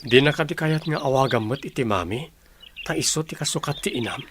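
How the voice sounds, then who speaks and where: A man's voice: mid-range voice, a little fast, fairly bright tone, a little hiss or hum, close to an everyday voice. A man with an Ilocano Filipino accent playing a kindly, attentive ranch hand in a radio drama. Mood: friendly, sympathetic.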